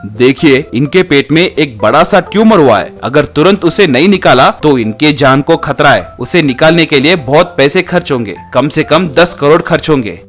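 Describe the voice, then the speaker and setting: Man doing a grave doctor, lowered voice. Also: animated, normal speed, fairly bright tone, soft background music.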